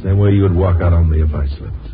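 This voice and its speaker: An actor playing a cocky, ambitious columnist husband in a 1950s radio drama, breezy, confident and slangy. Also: male voice, low-pitched, steady tone, fairly quick, over music, natural voice. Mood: cocky, self-assured. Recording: muffled old radio recording.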